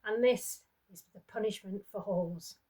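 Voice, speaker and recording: A woman reading aloud, voicing a brutal, sneering abusive husband who taunts in a loud, snarling way. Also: medium pitch, measured pace, breathy edge. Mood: taunting.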